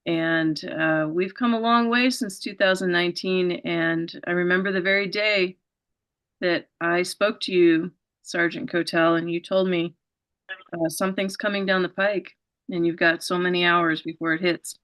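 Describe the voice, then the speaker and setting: A woman's voice: on the low side, steady tone, normal speed, fairly bright tone. A woman hosting an interview show, giving an earnest, rambling setup with many fillers before her questions. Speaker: show host.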